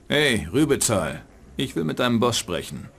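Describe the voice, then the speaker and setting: An actor playing a cynical, hard-boiled private detective in a dubbed game, with a dry, sardonic delivery. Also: male voice, medium pitch, sing-song, moderate pace, gravelly, fairly bright tone, slightly rough, heavily acted. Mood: dry, wry. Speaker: narrating game protagonist.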